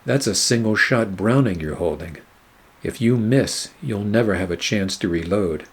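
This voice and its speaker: Low-voiced hard-boiled private eye played by a man. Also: expressive, normal speed, slightly rough. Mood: wry, measured. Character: weary, wry hard-boiled male private eye narrating his case. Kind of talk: noir radio play narration and dialogue.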